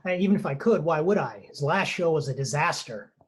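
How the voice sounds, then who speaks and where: A man's voice: fairly high pitch, expressive, brisk, slightly rough. A man playing a skeptical TV writer in a screenplay table read, with a dry, offhand, dismissive manner.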